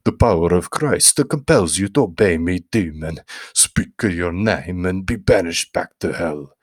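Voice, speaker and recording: A man voicing a stern monk who barks commands during a dark ritual. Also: sits low, a little slow, no room sound, studio quality. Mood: commanding. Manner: commanding, barking orders. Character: stern Carmelite monk performing dark rites.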